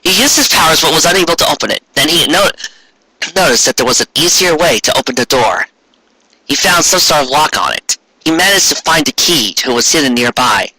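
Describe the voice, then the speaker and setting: A man's voice: on the high side, expressive, brisk, pushed and bright, husky, rough recording. A man narrating amateur fan fiction aloud in a dramatic, comic reading style.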